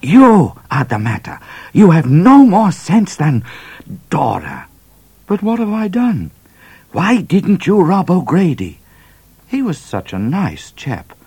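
A fierce, exasperated Siamese cat, put on by a man reading a storybook. He scolds in clipped bursts, pitched well up from the reader's usual voice. The tone stays a little husky. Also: slow pace, few pauses, no echo, full character voice. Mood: scolding.

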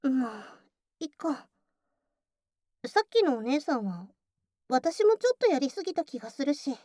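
A woman's voice: high voice, big pitch swings, a little slow, warm tone, no room sound, clean studio sound. A woman voicing a bossy, teasing tsundere childhood friend working as a cafe waitress in an intimate ASMR voice drama, often slipping into whispers. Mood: flustered, huffy. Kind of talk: Japanese ASMR situation voice drama.

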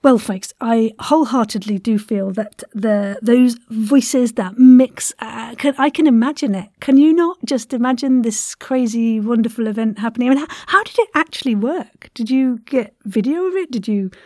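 A woman's voice: on the high side, normal speed, few pauses, a little mellow, dry recording, studio quality. A woman hosting a casual podcast interview in an effusive, enthusiastic manner. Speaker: podcast host.

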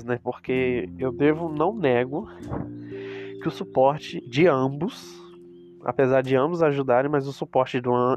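A man with a Brazilian Portuguese accent and a stuffy nose from a cold, chatting casually and rambling to his viewers about reselling cosmetics. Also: fairly high pitch. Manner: chatty, rambling, with asides to the audience. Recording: music playing in the background.

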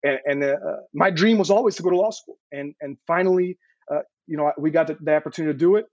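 An earnest man in his thirties, from Serbia, talks in a voice a bit higher than most. He stumbles over his words and repeats them as he tells his story. The sound is dry and somewhat dull. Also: quick pace, a little mellow, dry recording. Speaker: guest. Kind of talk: casual interview.

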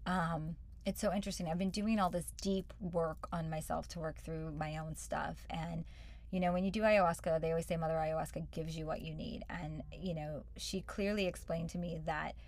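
A woman's voice: low voice, fairly even intonation, moderate pace, a little mellow. Rambling woman co-hosting a podcast. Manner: rambling, many "you know" and "like" fillers. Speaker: podcast co-host. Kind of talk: casual chat.

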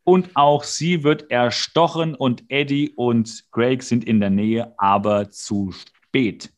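A man's voice: medium pitch, slow pace. A man co-hosting a podcast, giving a casual, jokey recap with wry asides and bits of mimicry. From Hesse, Germany. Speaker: podcast co-host. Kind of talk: casual comedic episode recap.

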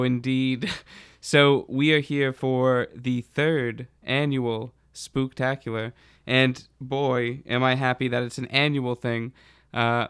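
Crisp, close-sounding male podcast host. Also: mid-range voice, fairly bright tone, no echo. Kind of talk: conversational podcast hosting.

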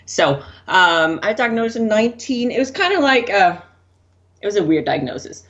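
A woman from Denmark, a guest on a podcast, telling her story in a relaxed, candid way. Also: medium pitch, moderate pace. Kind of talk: casual interview storytelling. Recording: dull lo-fi sound.

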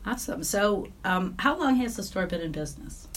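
A woman hosting a podcast, slightly hoarse from laryngitis, reading an upbeat intro and then asking her guest questions. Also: mid-range voice, animated, a little fast, a little hiss or hum. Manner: upbeat, enthusiastic. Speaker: podcast host.

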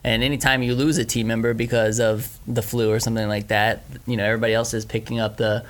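A man hosting a casual interview, talking in a relaxed way with lots of "like" and "you know". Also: mid-range voice, fast. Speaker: podcast host.

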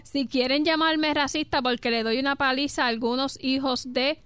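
A woman's voice: high voice, fairly even intonation, normal speed, fairly bright tone, dry recording, faint music behind. A Puerto Rican woman co-hosting a radio show, reading quotes aloud and laughing as she talks. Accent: Puerto Rican Spanish. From Puerto Rico. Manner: reads quotes aloud, laughs while talking. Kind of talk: casual radio banter.

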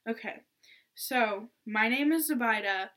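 A woman's voice: fairly high pitch, unhurried, fairly bright tone. A seventeen-year-old girl born in Iraq, co-hosting a casual podcast and chatting with lots of fillers and giggles. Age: teens. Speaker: podcast co-host. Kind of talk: casual chat.

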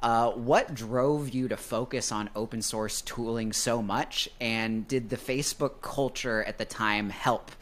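A man's voice: medium pitch, fairly even intonation, measured pace, few pauses, fairly bright tone. A man hosting a tech podcast, opening the show and asking the guest questions with a few fillers. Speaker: podcast host. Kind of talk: casual podcast interview.

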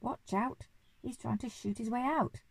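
A woman voicing an excitable old aristocratic Duke in a storybook, with pompous, old-fashioned exclamations. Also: fairly high pitch, unhurried, vocal fry, slightly rough, dry recording, voice pushed up, full character voice. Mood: panicked shouting.

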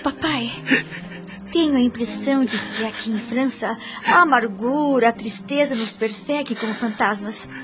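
A woman's voice: fairly high pitch, moderate pace, over music, natural voice. An actress playing an earnest, composed young woman in a radio soap opera, speaking formally and carefully with solemn feeling. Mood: melancholy.

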